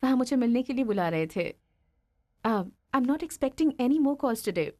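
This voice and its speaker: Woman acting quick, high-voiced friendly colleague. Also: animated, dark tone, dry recording, natural voice. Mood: polite, hesitant. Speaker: office colleague.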